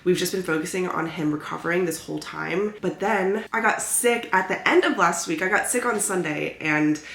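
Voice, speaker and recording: A woman's voice: fairly low pitch, moderate pace, a bit bright. A young woman chatting casually in a vlog, congested as she gets over a cold, rambling and peppering her talk with "like". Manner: rambling, frequent use of "like". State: recovering from illness, stuffy. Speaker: lifestyle vlogger. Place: indoors at home. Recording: roomy echo with clear background noise.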